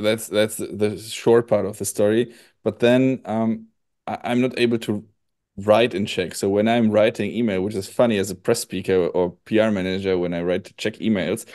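German-accented man, low and relaxed. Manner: relaxed, hesitant, many fillers. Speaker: studio PR manager. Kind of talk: casual interview answers. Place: small room indoors. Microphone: headset on a video call.

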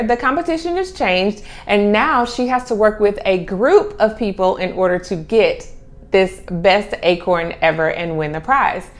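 A middle-aged woman chatting casually to camera as a homeschooling vlog host, stretching and repeating key words for emphasis. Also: medium pitch. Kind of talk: casual chat to camera, presenting children's books. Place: small home room with sloped ceiling. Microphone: clip-on lapel mic.

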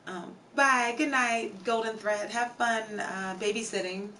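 A young woman with a hoarse, tired voice, chatting casually with her viewers on a home live stream and filling her talk with "like" and "um". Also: fairly high pitch, fairly even intonation, measured pace, pushed and bright, far from the mic, slight background noise. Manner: chatty, reads out chat names, many fillers. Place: home streaming room with shelves.

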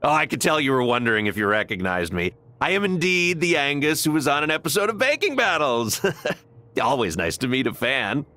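Jovial, self-pleased male baker, mid pitch, very animated, cosy game character. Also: a little fast. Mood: proud, jovial. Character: genial baker proud of his small fame. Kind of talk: video game voice acting.

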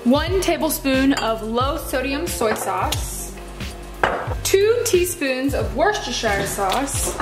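A woman's voice: sits high, lively intonation, takes it slowly. An American woman chattily walking through recipes step by step in a casual home cooking demonstration. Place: home kitchen, indoors. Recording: echoey kitchen with music behind. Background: music.

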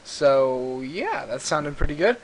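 A man giving a casual, rambling software tutorial, recorded on a computer microphone a little away from him. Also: fairly high pitch, sing-song, slow. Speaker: tutorial host. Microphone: computer mic a little far away. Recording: clearly hissy amateur computer mic recording.

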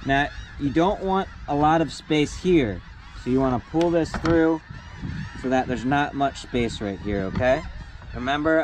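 A man's voice: medium pitch, noisy background. Young man teaching knots on deck. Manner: patient, step-by-step, plain-spoken. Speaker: sailing instructor. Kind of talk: hands-on knot-tying demonstration. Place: outdoors on a wooden boat deck by the water.

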